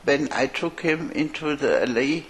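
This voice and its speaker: A woman's voice: low-pitched, lightly acted, low-quality recording. An actress playing a deranged, sweetly pitying woman who kills out of mercy, speaking in a tender, cooing way in an audio horror drama. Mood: tender, wistful. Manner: tender, cooing, slow and dreamy.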